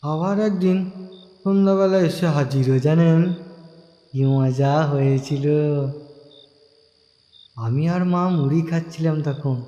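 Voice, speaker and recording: A young man telling a story in the first person, talking straight to the listener in a chatty way. His voice sits high for a man and he takes his time. The room is echoey with a long reverb tail. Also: takes it slowly, pauses often, mellow, dull audio. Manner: chatty, addresses listener, fond asides. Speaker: narrator.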